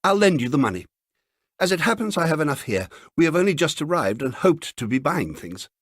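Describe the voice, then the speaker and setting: A polite English wife in an uncanny story, played by a male narrator, lifted high in pitch with lively intonation. She is brisk and fussy, courteous yet firm and uneasy. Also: husky, dry recording, clean studio sound, heavily acted. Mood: hurried, brisk. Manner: courteous, fussy, self-correcting.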